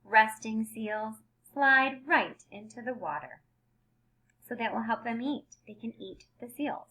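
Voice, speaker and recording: Woman, bright clear-cut teacher voice, slightly high, expressive children's read-aloud, simple explanations. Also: unhurried, poor audio. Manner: expressive, emphasized headings with simple explanatory asides.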